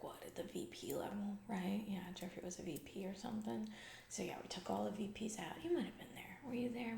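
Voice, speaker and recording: Woman acting out a awful ditzy boss lady, asmr skit, slow creaky whispering, flippant, loses her thread constantly. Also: on the low side, reverberant, slight background noise. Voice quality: breathy whisper mixed with soft creaky speech. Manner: vague, halting, filler-laden, flippant. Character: distracted, callous, awful female corporate CEO boss. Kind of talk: whispered ASMR comedy roleplay.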